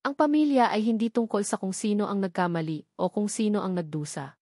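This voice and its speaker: A woman voicing a calm, resolute, devoted man who speaks clearly, steadily and sincerely in a romance story. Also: medium pitch, a little fast, no echo, clean studio sound, lightly acted. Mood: calm, firm.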